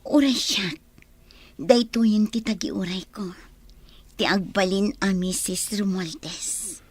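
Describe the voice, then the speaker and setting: A woman's voice: medium pitch, slow pace, husky, dry recording. A woman playing a frank young bride-to-be in an Ilocano radio drama. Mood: happy, hopeful. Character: frank young bride-to-be who rejects an unwanted suitor.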